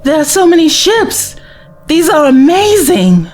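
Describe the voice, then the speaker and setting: An actress playing a spirited, cheeky young woman companion in a science fiction audio drama, expressive and teasing. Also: very high voice, a little slow, soft background music, heavily acted. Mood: impressed, teasing.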